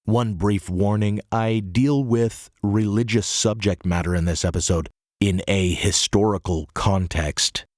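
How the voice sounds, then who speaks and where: A hoarse man narrating a history podcast in a measured, earnest way. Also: fairly low pitch, steady tone, darkish tone, dry recording, clean studio sound. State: hoarse, recovering from illness. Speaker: podcast host.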